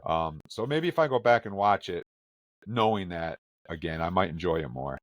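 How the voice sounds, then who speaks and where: A man's voice: deep voice, animated, moderate pace, no echo, studio quality. A man hosting a podcast, chatting casually and joking while running trivia. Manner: joking, runs the trivia segment. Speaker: podcast host. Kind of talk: casual chat.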